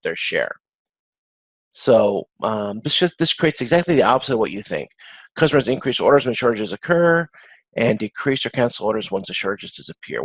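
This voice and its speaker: Male instructor, lowish voice, halting informal lecture delivery with repeated words, close dry sound on a video conference. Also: dry recording, rough recording. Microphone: video conference call.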